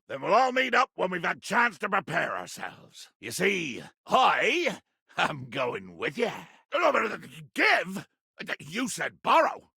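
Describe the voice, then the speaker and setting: A raspy, blustering pirate captain for a video game, pitched high for a male voice and swinging widely in tone. He speaks in grand, pompous seafaring phrases, proud and hungry for adventure, with a heavy gravelly edge. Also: pushed and bright, no echo, clean studio sound, exaggerated voice. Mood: eager, commanding, then indignant. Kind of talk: video game character acting.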